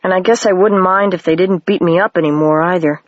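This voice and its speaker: A reader voicing a bold, curious young girl who is a wizard, slightly higher than their plain reading voice, on a dry, dull lo-fi home recording. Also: fairly even intonation, brisk, no room sound, lightly acted.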